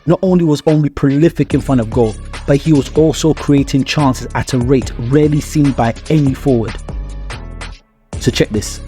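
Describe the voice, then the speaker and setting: A man narrates a scripted sports analysis video in a mellow, warm voice of medium pitch. He stresses his points and poses rhetorical questions to the viewer. Faint music plays behind him.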